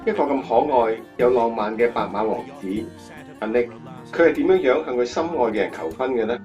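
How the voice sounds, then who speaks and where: An actor playing an admiring, earnest interviewer and narrator in a radio drama, presenterly. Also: male voice, medium pitch, expressive, a little fast, far from the mic, highs cut off, close to an everyday voice. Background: guitar music.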